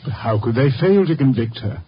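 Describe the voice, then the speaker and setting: A man's voice: mid-range voice, lively intonation, brisk, lightly acted. An actor playing a troubled, genteel middle-aged father in a 1950s radio drama. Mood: despairing, defensive. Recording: dull, noisy 1950 radio recording.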